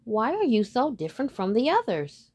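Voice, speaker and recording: A woman voicing a gentle, worried mother duck in a storybook, speaking in a tender, consoling way. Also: on the high side, huge pitch swings, normal speed, voice pushed up.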